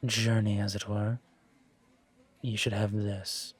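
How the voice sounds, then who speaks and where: A man playing a low-voiced, suave demon professor. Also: monotone, husky. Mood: teasing, charmed. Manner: wry, measured, teasing, formal wording. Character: suave male incubus demon professor, teasing, protective. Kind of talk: roleplay audio drama.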